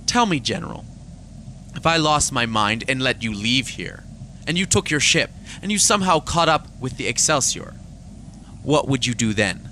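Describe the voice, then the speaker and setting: An actor playing a principled, troubled space fleet admiral in a science fiction audio drama, earnest and stern with some weariness. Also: male voice, medium pitch, animated, normal speed, pushed and bright, slight background noise, low-quality recording. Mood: wry, disapproving.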